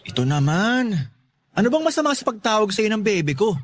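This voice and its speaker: Young Filipino loafer suitor, high swooping voice, corny, teasing, wheedling, radio drama. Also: male voice, big pitch swings, brisk. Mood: playful, doting. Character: cheeky, lovestruck young male neighborhood loafer, relentlessly teasing suitor. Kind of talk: Tagalog radio drama acting.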